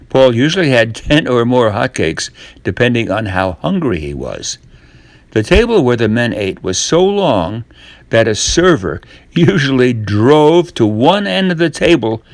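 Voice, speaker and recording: Older male storyteller for children's tales. Slow, deliberate delivery, lively intonation, dramatic pauses. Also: mid-range voice, vocal fry, dry recording. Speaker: storyteller reading a tall tale.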